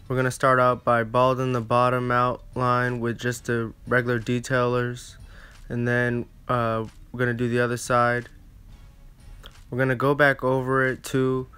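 Casting: male barber for a tutorial voice-over, smooth medium-pitched voice, flat casual delivery, slightly halting phrasing. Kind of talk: casual step-by-step instructional narration.

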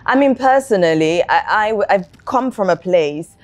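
A middle-aged woman, an academic answering interview questions in a thoughtful, measured way. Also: mid-range voice, animated. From Nigeria. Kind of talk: reflective interview talk. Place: indoor interview set, seated.

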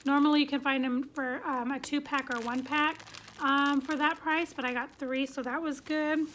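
A chatty woman in her thirties walks through her shopping haul on a phone camera at arm's length. Her voice sits high and bright. A steady hiss of noise runs beneath her voice. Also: normal speed, rough recording. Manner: chatty, many "um" fillers, upbeat. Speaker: vlogger. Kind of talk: casual shopping haul chat. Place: bedroom at home, sitting on the floor.

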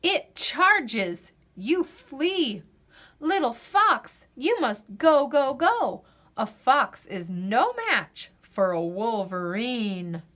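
A woman reading a children's picture book aloud as a storyteller, with gentle, expressive delivery and deliberate dramatic pauses. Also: a little high.

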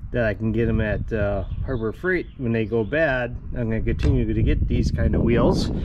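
A man's voice: medium pitch, noisy background. A man in his fifties hosting a casual DIY vlog from his driveway and garage workshop, chatty and rambling, often saying "guys". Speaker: DIY channel host.

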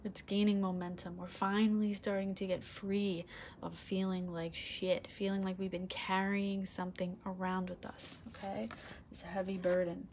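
A woman giving a casual tarot reading to camera, soft-spoken with a low, husky voice that is starting to give out, often asking "okay?"; recorded indoors on a faulty microphone. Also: flat delivery, normal speed, a little hiss or hum. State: losing her voice, clearing throat. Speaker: tarot reader. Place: indoor room, red curtains and candles on a table. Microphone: broken microphone, quiet.

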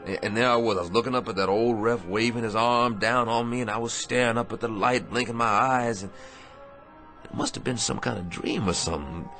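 An actor playing a weary, embittered middle-aged boxer in a radio drama, speaking in emotional, halting working-class slang. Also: male voice, medium pitch, a bit bright, close to an everyday voice. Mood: shaken, insistent. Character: battered, weary, embittered aging boxer, desperate and intense. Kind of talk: dramatic radio play acting. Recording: radio drama with music underneath.

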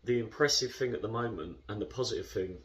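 Low-voiced captain, chatty and measured. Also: male voice, a bit bright, far from the mic. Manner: chatty, measured, lots of fillers. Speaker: football club captain. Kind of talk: post-match interview. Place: indoor club room.

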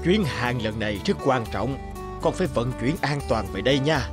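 A man's voice: medium pitch, expressive, moderate pace, over music, natural voice. A man voicing a stern, exasperated old merchant father in a cartoon fairy tale, scolding with authority. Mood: firm.

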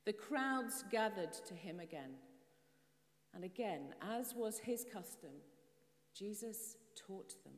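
A middle-aged woman preaching from a church pulpit in a measured, explanatory way, asking the congregation questions. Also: mid-range voice, animated. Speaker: priest. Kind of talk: sermon. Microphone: far camera. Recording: long church reverb.

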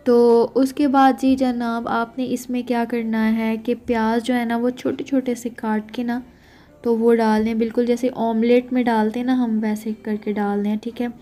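A young woman talks casually through a recipe in a fairly high voice. Her delivery stays flat and mellow, and she keeps checking in with her listeners. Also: moderate pace. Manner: chatty, keeps saying "theek hai" to check in with viewers. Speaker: cooking vlogger. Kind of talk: casual cooking narration.

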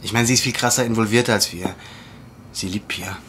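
A man's voice: on the low side, brisk, noisy background, lightly acted. An actor playing a troubled, determined young man with a brooding, insistent way of talking, in an audio drama. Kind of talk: naturalistic audio drama acting.

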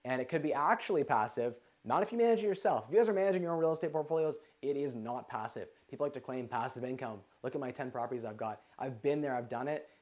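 A man in his twenties hosting a live investing question-and-answer stream from a living room, energetic and gesturing, with lots of "like". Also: medium pitch, fast talker, few pauses. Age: 20s. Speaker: livestream host. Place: living room with a couch, indoors.

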